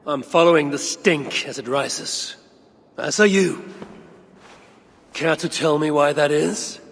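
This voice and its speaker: An actor playing a shrewd, gruff Norse god in a game cutscene, speaking in a deliberate, sardonic, investigative manner. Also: male voice, fairly high pitch, full character voice. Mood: probing, wry. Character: shrewd Norse god searching for his son, gruff and resolute. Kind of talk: dramatic game cutscene acting.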